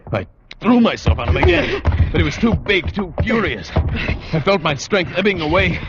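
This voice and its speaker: An actor playing an adventurer who narrates his own ordeal in a dramatic, halting, suspenseful radio style. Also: high-pitched, big pitch swings, music in the background. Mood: frantic. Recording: dull old radio broadcast recording.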